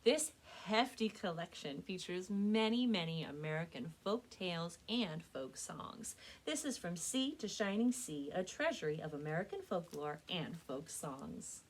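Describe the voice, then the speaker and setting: A woman speaking as a children's librarian and storyteller, with an expressive, clearly enunciated read-aloud delivery. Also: lowish voice, unhurried, few pauses, poor audio. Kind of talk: children's storytelling and book recommendations.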